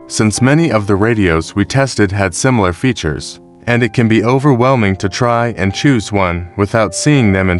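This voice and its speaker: A man with a fairly low voice delivers scripted review narration. He reads in a measured, explanatory manner, like a narrator walking through buying advice. Music plays behind his voice. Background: background music.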